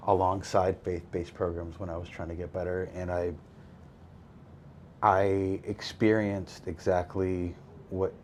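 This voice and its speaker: Host, male, deep, easygoing and reflective, slight background hiss. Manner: relaxed, reflective, many fillers. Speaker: podcast host. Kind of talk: faith-based recovery conversation.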